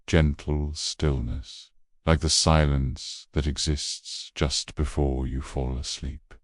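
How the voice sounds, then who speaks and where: A man narrating a bedtime sleep meditation in a slow, hushed, gentle voice that trails off softly. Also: rumbling low, dry recording, studio quality. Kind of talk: soothing scripted bedtime narration.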